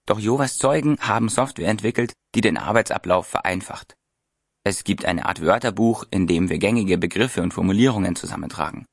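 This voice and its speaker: A man who works as a translator, answering interview questions in calm, earnest, read-out sentences. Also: fairly low pitch, gravelly, dry recording, studio quality.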